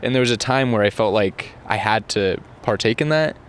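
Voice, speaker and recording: Low-energy monotone man. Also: a little fast, clean tone. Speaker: guest content creator. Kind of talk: casual interview.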